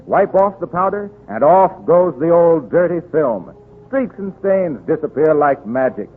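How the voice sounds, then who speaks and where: A man's voice: on the high side, very animated, a little slow, breathy edge. An American man reading scripted copy as a 1930s radio announcer. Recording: tinny 1930s radio broadcast recording.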